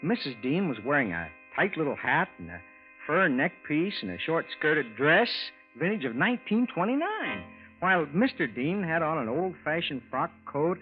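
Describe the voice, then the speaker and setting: Casting: plainspoken, puzzled American everyman, lively intonation, old radio drama, narrow tinny sound. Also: a little high, normal speed, soft background music. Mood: earnest, recounting. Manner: plainspoken, conversational, earnest, expressive. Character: ordinary, earnest, mildly puzzled husband and landlord. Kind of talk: 1950s radio drama acting, first-person narration. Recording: thin, phone-like vintage radio recording.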